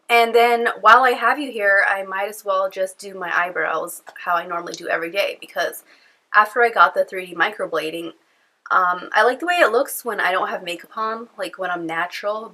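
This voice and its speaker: A woman's voice: mid-range voice, sharp tone. A young woman with a cold-stuffed, nasal voice, chatting casually to camera from home in a rambling vlog full of fillers. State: congested from a cold. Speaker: beauty vlogger. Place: at home indoors.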